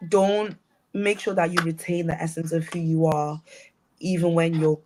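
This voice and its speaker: Young Scouse woman, flat earnest delivery. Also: low voice, noisy background. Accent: Scouse with some Nigerian. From England, Liverpool area. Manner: earnest, unhurried, restarts often. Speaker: panel guest. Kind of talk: conversational advice, panel discussion.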